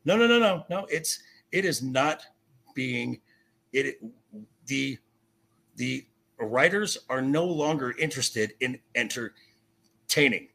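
A man with a raspy voice in a heated pop culture discussion, insistent and halting, often repeating himself. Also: medium pitch, animated, sharp tone. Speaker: podcast guest. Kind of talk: heated opinionated film and TV discussion.

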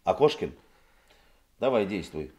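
A shrewd Soviet detective in a radio play, with a low voice that swings widely. He is commanding and deliberate, with dry irony. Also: sing-song, background noise. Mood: dry, triumphant. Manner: deliberate, commanding, dryly ironic. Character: shrewd, composed Soviet police detective interrogating suspects. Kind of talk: radio drama acting.

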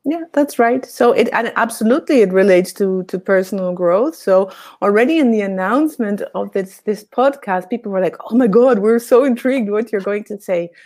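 A woman's voice: medium pitch, brisk, hardly any pauses, a little mellow. A middle-aged woman from Argentina, a podcast guest talking reflectively and at length. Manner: reflective, expansive, laughs easily. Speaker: podcast guest, innovation consultant and coach. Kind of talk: conversational podcast interview.

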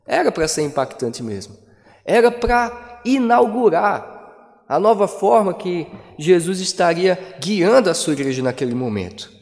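A man preaching a sermon as pastor, with dramatic pauses and emphatic delivery. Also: sits high, sing-song, vocal fry, a little mellow. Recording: long hall reverb.

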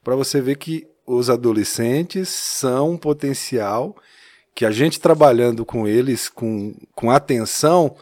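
A man's voice: mid-range voice, measured pace, a little mellow. A man from Minas Gerais with a Mineiro accent, telling his story casually as a podcast guest, laughing often and tagging lines with "né?". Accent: Mineiro Brazilian Portuguese. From Minas Gerais, Brazil. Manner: chatty, laughs often, many "né?" tags. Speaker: church youth leader, podcast guest.

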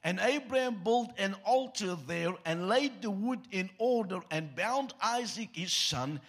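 Afrikaans-accented preacher guy, husky and kinda high voice, cracks jokes then reads scripture. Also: expressive, unhurried, fairly bright tone. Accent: South African Afrikaans. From South Africa. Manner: joking, self-deprecating asides. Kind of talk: sermon with scripture reading.

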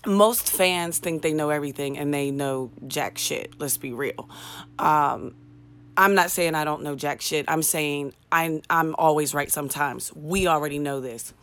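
Woman, low raspy voice, podcast host; casual, candid, ranty, self-deprecating. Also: measured pace, a bit bright. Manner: chatty, candid, ranting with swearing and self-mocking humor. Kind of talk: casual solo podcast monologue.

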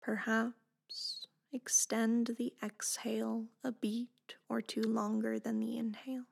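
Slow, soft woman guiding meditation. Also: fairly high pitch, level tone, vocal fry, husky. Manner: gentle, unhurried, deliberate instruction. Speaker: meditation guide. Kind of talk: guided meditation narration.

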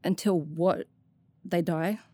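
A woman's voice: lowish voice, fairly even intonation, takes it slowly, dark tone, dry recording. A woman playing an anxious but determined, self-doubting young adventurer in an audio drama, speaking in a hesitant, plain way. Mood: quiet relief.

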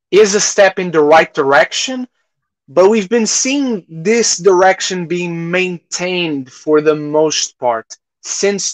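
Male podcast co-host, high-pitched voice, dry close sound, emphatic and opinionated in casual film chat. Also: a bit bright, no echo. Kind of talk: casual movie discussion.

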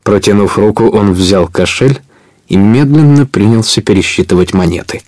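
A man narrating an audio play as a scripted adventure story, in an expressive storytelling manner. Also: on the low side, moderate pace, no echo.

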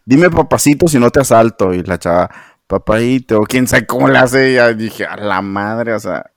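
A man's voice: mid-range voice, expressive, moderate pace, no room sound, studio quality. A man hosting a casual podcast, asking playful questions of his guests. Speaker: podcast host. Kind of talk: casual chat.

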